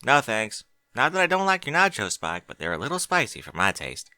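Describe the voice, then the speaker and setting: Folksy female pony for a storybook male reader performing: colloquial, reassuring, lively intonation, dry sound. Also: mid-range voice, brisk, dry recording, full character voice. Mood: reassuring, firm. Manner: folksy, colloquial, plain-spoken. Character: adult female country pony, plain-spoken, reassuring. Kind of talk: audiobook character dialogue.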